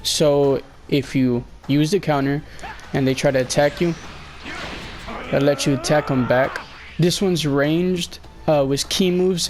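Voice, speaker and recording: Slightly hoarse young man rambling. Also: a little high, a little mellow. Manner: rambling, hesitant, many fillers. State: slightly sick, losing his voice. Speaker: gameplay commentator. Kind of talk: casual game walkthrough voice-over.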